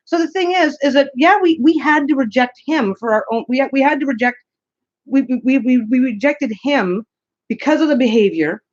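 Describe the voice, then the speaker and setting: A woman's voice: high-pitched, animated, moderate pace. A woman with a scratchy voice co-hosting a casual live stream, rambling with many restarts and repeats. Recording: dull lo-fi stream audio.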